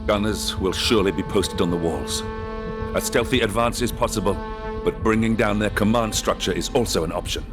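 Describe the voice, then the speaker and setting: Low-pitched, dutiful samurai tactician played by a man. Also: a little fast, close to an everyday voice. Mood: measured, tactical. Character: shrewd, dutiful samurai retainer and battle tactician. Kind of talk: video game cutscene acting. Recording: music under the voice.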